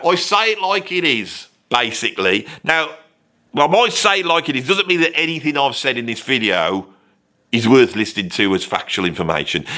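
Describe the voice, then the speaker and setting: A man's voice: medium pitch, sharp tone. A man doing a ranting Brummie bloke. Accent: Birmingham. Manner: ranting, blunt, sweary. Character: blunt opinionated Birmingham bloke, grumbling. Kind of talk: comic character monologue.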